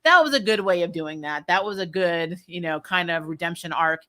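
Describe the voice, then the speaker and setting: A woman giving casual, opinionated commentary as a show host, chatty and animated, with blunt, slangy phrasing. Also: fairly low pitch, normal speed.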